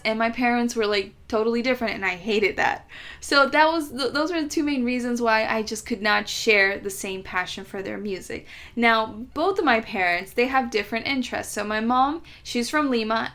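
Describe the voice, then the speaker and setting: A woman's voice: fairly high pitch, a bit bright. Chatty American woman vlogger. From United States. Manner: chatty, playful, exaggerated complaints. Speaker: travel vlogger. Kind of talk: casual storytelling vlog.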